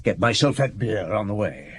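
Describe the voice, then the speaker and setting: A weary, dry chief inspector. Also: male voice, on the low side, sing-song, normal speed, a little hiss or hum. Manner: dry, patient, murmuring. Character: weary, hungry middle-aged police chief inspector. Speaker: detective. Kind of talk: 1990s BBC radio drama acting.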